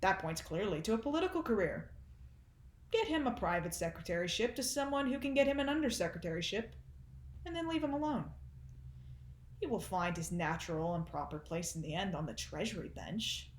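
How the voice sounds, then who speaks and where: A woman's voice: medium pitch, lively intonation, fairly quick, roomy sound, slight background noise, natural voice. Female actor as male industrial magnate, cruel, cynical, mocking oratory, theatrical stage monologue, pompous. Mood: scornful.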